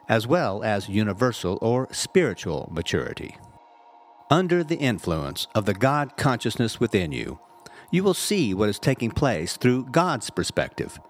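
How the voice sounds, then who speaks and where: A man reading a scripted inspirational message as a reverend, in a measured, earnest and carefully phrased way. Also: mid-range voice, a little hiss or hum. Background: background music.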